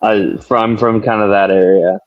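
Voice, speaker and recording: Band guest from Canada, man, relaxed, restarts his sentences. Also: lowish voice, measured pace, highs cut off. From Canada, British Columbia. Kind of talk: casual group chat.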